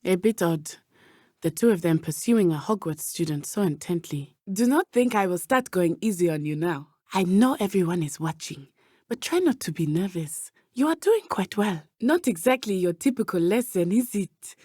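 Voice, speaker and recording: A woman's voice: on the low side, expressive, moderate pace, a little mellow, husky, dry recording, clean studio sound. A woman with a Zimbabwean accent voicing a brave, earnest teenage witch in a video game, speaking in formal, precise phrases. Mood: serious, determined. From southern Africa (Matabeleland). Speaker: student companion character in a video game.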